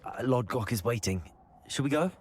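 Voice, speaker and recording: A man's voice: medium pitch, lively intonation, normal speed, lightly acted. A young man playing a level-headed, curious teenage wizard in a video game. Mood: calm, curious.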